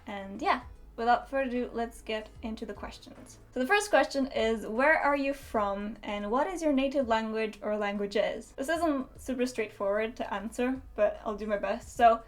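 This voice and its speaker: A woman's voice: a little high, moderate pace. A young woman from Romania, a native Romanian speaker, chatting casually to camera as a book vlogger, laughing often and restarting her sentences. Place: home in Denmark.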